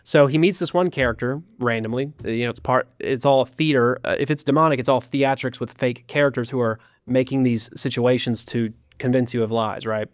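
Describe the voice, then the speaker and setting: A man recounting a story in casual podcast talk, animated, with sarcastic asides. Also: medium pitch, fairly quick, few pauses, dry recording, studio quality. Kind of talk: casual chat.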